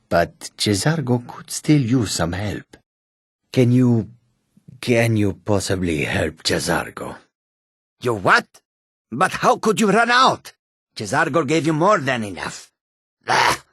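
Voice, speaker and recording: A proud, sly feline mage from a fantasy video game. The voice is deep and very raspy. The phrasing is formal and measured, and the recording is dry and close. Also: male voice, dry recording, heavily acted. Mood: dismayed, pleading. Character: proud, sly male cat-person mage, speaks in third person. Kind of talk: video game character dialogue.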